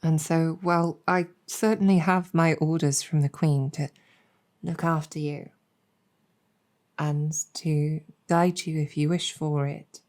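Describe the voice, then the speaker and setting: A woman voicing a weary, earnest demon general in an intimate audio roleplay, speaking reflectively with hesitant pauses. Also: sits low, fairly even intonation, a little mellow. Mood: tender, earnest.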